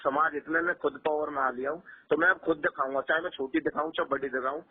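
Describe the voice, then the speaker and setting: A man speaks over a narrow, tinny phone line. His voice sits fairly high and is a little husky. He talks earnestly and emphatically, often repeating phrases to press his point. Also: steady tone, quick pace. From Rajasthan, India. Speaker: local journalist and community activist, being interviewed. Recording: tinny, muffled phone call recording.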